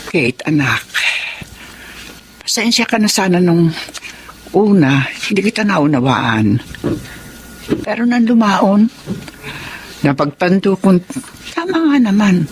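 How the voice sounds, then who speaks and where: A woman's voice: fairly low pitch, slight background noise. An actress playing a frank mother who gives her blessing to her daughter's marriage. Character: blunt mother, now understanding. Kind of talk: radio drama acting.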